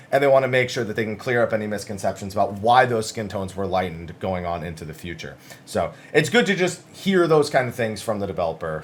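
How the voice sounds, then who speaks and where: A man's voice: lowish voice, a little hiss or hum. A man giving casual, conversational commentary as the host of a gaming news channel, summarizing interviews with emphasis.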